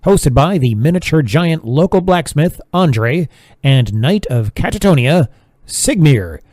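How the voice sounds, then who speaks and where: A man's voice: mid-range voice, darkish tone, no echo, lightly acted. A man acting as a serious radio news anchor, delivering a scripted special bulletin in a formal, urgent announcing manner.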